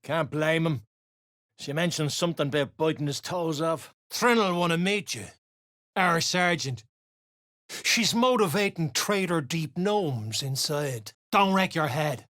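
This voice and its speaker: A surly underground dwarf raider from a video game, coarse and slangy. The pitch leaps from low grumbles to sharp barks. The recording is dry and close, as a hostile underling snaps out orders and complaints. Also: animated, unhurried, no echo. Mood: grumbling, surly. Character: gruff, surly duergar raider guard, rough-tongued underling. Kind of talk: video game character voice lines.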